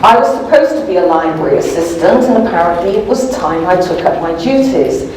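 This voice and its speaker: A woman's voice: fairly low pitch, expressive, brisk. A woman author giving a live comic reading from a novel with a wry, amused manner. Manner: wry, amused, often chuckling. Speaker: author reading aloud from their own novel. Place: indoor live event room. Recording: echoey room, distant mic, background noise.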